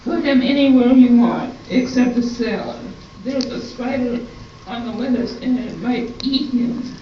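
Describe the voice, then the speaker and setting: A woman playing a deadpan, wisecracking figure at a diner in an amateur radio comedy sketch. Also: a little high, a little slow, close to an everyday voice. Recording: heavy hall echo, distant mic, dull, faint noise.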